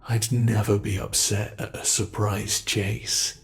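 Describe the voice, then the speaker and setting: An ancient male monster, acted by a man speaks very slowly, as a smug captor toying with prey. The delivery is theatrical and formal, teasing yet possessive, in the manner of an ASMR roleplay. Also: on the low side, flat delivery, creaky. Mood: teasing, sly. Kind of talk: ASMR roleplay, scripted monologue.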